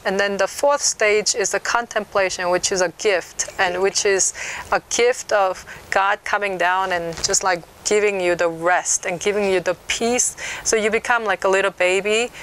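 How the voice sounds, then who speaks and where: An older woman, a reverend guest, calmly explaining a prayer practice in a studio interview, with frequent fillers. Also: medium pitch, clear, resonant tone, poor audio. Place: TV news studio set.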